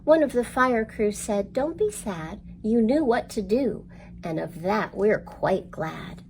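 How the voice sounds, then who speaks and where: Creaky-voiced woman hosting children's storytime. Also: mid-range voice, slow, slight background noise. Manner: expressive, simple, encouraging address to children. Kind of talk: read-aloud storytelling and instruction for young children.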